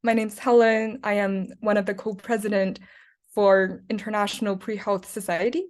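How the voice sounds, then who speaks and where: A woman's voice: mid-range voice, little pitch movement, normal speed. A young woman from China hosting a student Q&A on a video call, hesitant with many fillers. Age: young adult. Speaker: host, student club co-president. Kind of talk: casual moderating on a video call.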